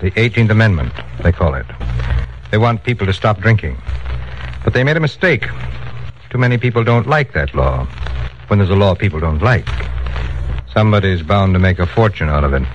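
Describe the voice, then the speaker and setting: Low-voiced radio gang boss. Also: male voice, very animated, brisk, long pauses. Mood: confiding. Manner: controlled, authoritative, streetwise. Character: measured, businesslike bootlegging gang boss. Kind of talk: 1940s radio crime drama acting. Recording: dull, faintly noisy old radio broadcast.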